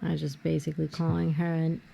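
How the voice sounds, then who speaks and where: A woman's voice: deep voice, quick pace, vocal fry, slight background noise. A young woman chatting casually over a film, telling stories with energy, laughing often and saying "like" a lot. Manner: animated storyteller, laughs often, says "like" a lot. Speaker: co-host. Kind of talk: casual film commentary chat.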